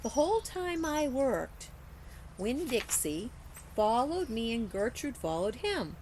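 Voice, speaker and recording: A woman reading a children's story aloud like a teacher, in a deliberate, careful storytelling way. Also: a little high, big pitch swings, darkish tone, a little hiss or hum, rough recording. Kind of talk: expressive children's story narration.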